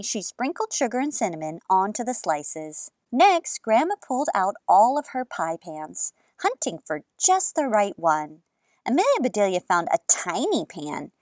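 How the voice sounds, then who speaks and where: A woman in her thirties hosting a children's story time, reading and chatting with expressive, exaggerated enthusiasm. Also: medium pitch, dry recording, studio quality.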